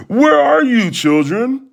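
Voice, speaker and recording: A man acts out a cunning old wolf from a storybook, passing himself off as a kindly grandmother. The words are slow and coaxing, with pitch that swoops widely. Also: sing-song, creaky, full character voice. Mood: begging.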